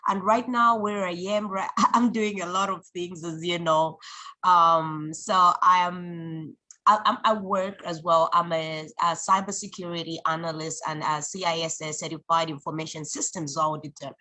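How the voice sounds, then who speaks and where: A woman from Zambia speaks as an interview guest. Her voice sits fairly low and sounds bright and forceful, and she talks in an earnest, reflective way, often backing up to restart a sentence. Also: fairly even intonation, moderate pace, low-quality recording. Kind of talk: conversational interview.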